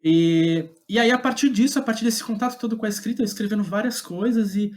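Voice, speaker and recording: A man's voice: high-pitched, quick pace. A man with a Brazilian accent speaking casually as an interview guest, recounting his own career in a self-deprecating, chuckling way. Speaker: guest playwright.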